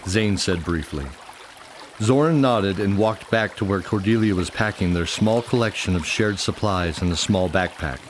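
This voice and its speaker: A man's voice: low voice, moderate pace, a little hiss or hum. A man narrating a scripted fantasy radio drama as its storyteller, with music underneath.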